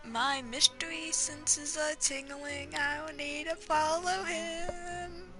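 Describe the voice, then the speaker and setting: A woman giving casual playthrough commentary, thinking aloud haltingly over game music. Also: sits high, fairly even intonation. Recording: game music faintly behind the voice.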